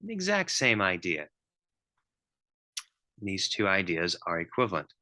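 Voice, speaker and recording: A man's voice: deep voice, huge pitch swings, a little slow, pushed and bright. A man giving a recorded math lecture, thinking aloud with frequent ums and restarts.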